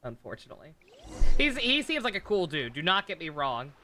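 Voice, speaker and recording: A man giving casual live stream commentary on a game, rambling, halting and often correcting himself. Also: a little high, wildly swooping pitch, bright, forceful tone. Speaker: game livestreamer. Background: game music.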